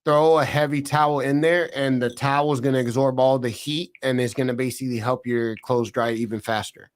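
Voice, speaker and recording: A man's voice: mid-range voice, fairly even intonation. A man co-hosting a podcast, talking casually in loose slang. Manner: loose slangy talk, drawn-out asides. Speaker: podcast co-host. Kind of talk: casual chat.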